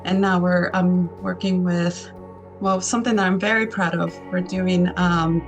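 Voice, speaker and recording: An earnest woman from Argentina whose first language is Spanish talks through interview answers, pausing on plenty of ums and restarting her sentences. Her pitch stays fairly level throughout. Soft music plays faintly underneath. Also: little pitch movement. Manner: earnest, many fillers and restarts. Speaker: arts program founder, guest. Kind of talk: conversational interview, answering questions.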